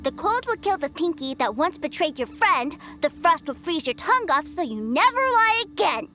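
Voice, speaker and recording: A cheerful little boy from a video game, with a very high, lively voice. He is trusting, earnest and emphatic, devoted to his big brother. Music plays prominently behind him. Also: moderate pace, exaggerated voice.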